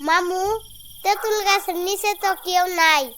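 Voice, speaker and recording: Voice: very high voice, steady tone, moderate pace, pushed and bright, a little hiss or hum. A performer voicing a cheeky, nosy little boy in a comedy cartoon, with a colloquial Bangladeshi accent and a pert, argumentative manner. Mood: bold, teasing. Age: child character. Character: cheeky young nephew, small nosy boy.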